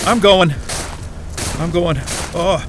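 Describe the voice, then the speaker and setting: Young scientist, higher-pitched male voice, irritable, halting, grumbling, audio drama, faint ambient noise. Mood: pained, irritated. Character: groggy, irritable, freezing young male astrophysicist. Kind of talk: naturalistic audio drama acting.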